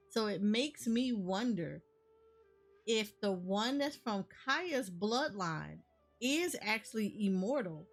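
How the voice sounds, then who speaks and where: A woman's voice: on the high side, fairly bright tone. A woman giving casual game reaction commentary, thinking aloud and often repeating herself. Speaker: gaming streamer. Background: game music.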